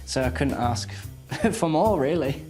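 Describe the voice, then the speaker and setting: A man's voice: on the high side, animated, takes it slowly, a little mellow. Man with music behind him. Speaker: homelessness support assistant. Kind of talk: informal interview.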